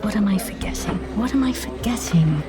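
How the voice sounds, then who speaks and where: A woman's voice: mid-range voice, lively intonation, fairly quick, over music, pitched above the performer's own voice, close to an everyday voice. A woman voicing an anxious, overworked female boss of a fashion company, fretful and prone to muttering, in an audio drama. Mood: frazzled muttering.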